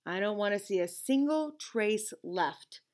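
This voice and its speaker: A woman voicing a storybook lizard's bossy, scolding conscience, interrogating with drawn-out suspicion. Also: medium pitch, expressive, a little mellow, lightly acted. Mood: stern, bossy.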